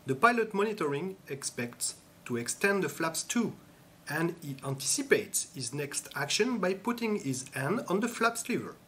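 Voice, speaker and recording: A man giving scripted instructional narration in a measured, instructive way. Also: fairly high pitch, sing-song, bright, forceful tone, slight background noise. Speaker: training narrator.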